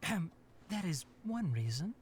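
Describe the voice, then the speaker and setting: A man voicing a young, practical forest ranger in an anime video game, instructive and gently sardonic. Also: on the high side, sing-song, moderate pace, slight background noise. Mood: considerate. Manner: instructive, dry, matter-of-fact.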